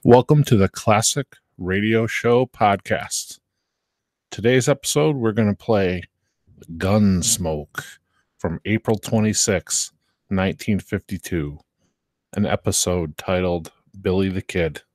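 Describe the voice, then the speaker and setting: A man with a low voice hosts a podcast. He delivers a scripted introduction to the episode. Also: slow pace, a little mellow. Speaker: podcast host.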